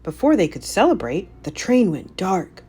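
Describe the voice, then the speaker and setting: A woman narrating a children's storybook aloud in an animated, scripted read-aloud style. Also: lowish voice, normal speed, husky.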